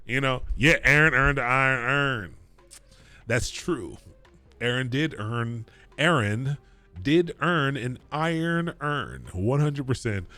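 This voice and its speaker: Man, Baltimore accent, bootcamp coding instructor; bright, forceful delivery, dry close sound, fast and casual with lots of restarts. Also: mid-range voice, expressive, no echo. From Baltimore area, Maryland. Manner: casual, talks quickly, repeats and restarts phrases; chats with viewers between points. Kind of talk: live-streamed lecture.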